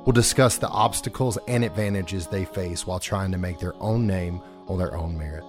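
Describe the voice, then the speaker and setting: A man reading a scripted podcast promo in a smooth, measured way. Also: deep voice, music in the background.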